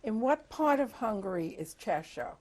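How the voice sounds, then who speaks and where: A woman conducting an oral history interview, asking questions in a measured, formal way. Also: fairly high pitch, sing-song, darkish tone.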